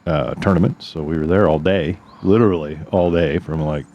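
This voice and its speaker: Deep, mellow easygoing man. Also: moderate pace, dull audio. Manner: easygoing, chuckling, trails off mid-thought. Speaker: podcast co-host. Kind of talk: casual chat.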